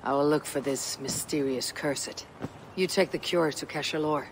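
A woman's voice: low-pitched, moderate pace, a little hiss or hum, rough recording. An actress playing a stoic female Viking warrior in a video game, terse and resolute. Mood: stern. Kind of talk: video game cutscene acting.

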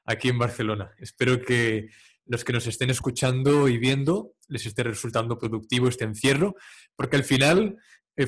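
Man, podcast host, mid-pitched, steady intro delivery. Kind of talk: scripted show introduction. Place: home room with shelves. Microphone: studio mic on stand.

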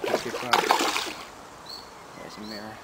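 A man's voice: lowish voice, moderate pace. Young man chatting while fishing. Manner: relaxed, chatty, explains as he goes. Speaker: angler vlogger. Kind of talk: casual fishing commentary. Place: outdoors by a pond among trees. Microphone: handheld camera. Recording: loud outdoor noise.

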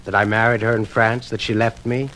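A man's voice: fairly low pitch, level tone, fairly quick, a bit bright, close to an everyday voice. An actor playing an earnest, lovesick, meek man with flowery, theatrical speech in a 1950s radio drama. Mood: pleading. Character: florid, lovesick stranger, meek and pleading. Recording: noisy vintage 1950s radio recording.

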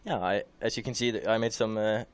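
A young man guesting on a studio show as a motocross rider, candid and halting, with many restarts, on a headset mic in a small TV studio. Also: on the low side, fairly even intonation, clean tone, no room sound. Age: young adult.

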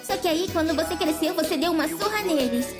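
A woman's voice: extremely high pitch, lively intonation, quick pace, loud music behind the voice. A woman voicing a naive, chatty young girl with a Brazilian accent in a comedic cartoon fan dub.

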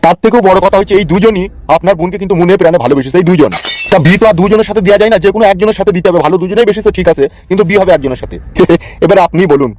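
Man as hot-headed, foul-mouthed cartoon uncle, very rapid high-pitched bluster over music. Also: a little mellow, lightly acted. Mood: persuasive. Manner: blustering, crude slang, wheedling speeches.

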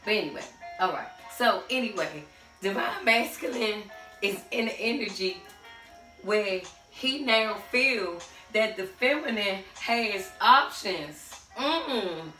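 A middle-aged woman with a hoarse voice giving a tarot reading to camera in a small room at home, sassy and sing-song, calling out to the spirits. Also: on the high side, sharp tone. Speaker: tarot reader. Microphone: camera standing a few steps away. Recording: echoey room, far from the mic, faint music.

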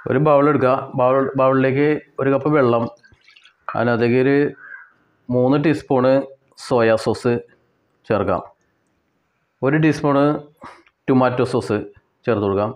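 A man with a Kerala Malayali accent giving step-by-step cooking instructions in a plain way, with pauses and repetitions. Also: mid-range voice, steady tone. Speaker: home cook presenter. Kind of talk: step-by-step recipe narration.

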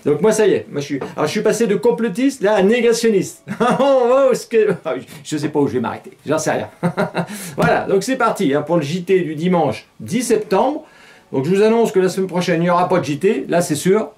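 A man's voice: high voice, fairly quick. A middle-aged man hosting a satirical news show in a small studio, talking casually and mockingly, laughing often between jokes. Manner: sarcastic, laughs often, animated gestures. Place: small studio with green-screen news set.

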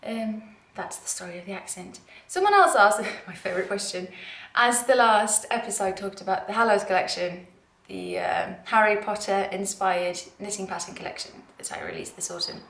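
A woman's voice: mid-range voice, sing-song, normal speed, fairly bright tone. A young Norwegian woman in her twenties, speaking English with a British-tinged accent, chatting to camera in a giggly, self-deprecating way as a knitwear designer. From Norway. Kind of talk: casual chat to camera. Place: dim living room, indoors. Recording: roomy living-room echo.

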